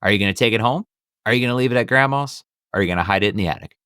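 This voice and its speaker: Guy hosting a movie chat podcast, lowish voice, dry sound, kinda rambles and doubles back. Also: very fast, sharp tone, clear, resonant tone, no echo. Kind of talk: casual film review chat.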